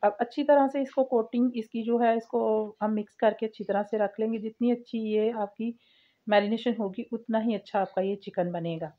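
A woman's voice: fairly high pitch, moderate pace. A woman giving a step-by-step cooking voice-over as the host of a recipe channel, on a lo-fi home recording.

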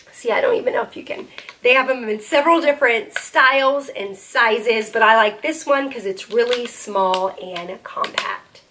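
Chatty woman vlogger, slightly high voice. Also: a bit bright. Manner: chatty, upbeat, lots of "um" and "little one". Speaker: parenting vlogger. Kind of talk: casual product recommendations to camera. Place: bedroom, indoors.

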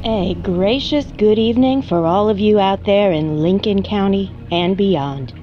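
A woman's voice: mid-range voice, a little slow, close to an everyday voice. Actress as gracious local radio weather lady, audio drama, folksy, soothing, muffled sound, faint music bed. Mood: gracious, calm. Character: gracious small-town female night radio weather announcer.

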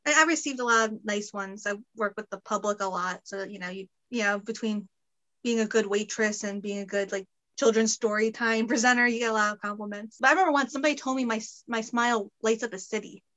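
Woman in her late twenties, pregnant, bright and fairly high voice, chatty and laughing, video call from home. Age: late 20s. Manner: chatty, laughs often, quick asides. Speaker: guest costumer. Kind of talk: casual video-call chat. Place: home room, indoors.

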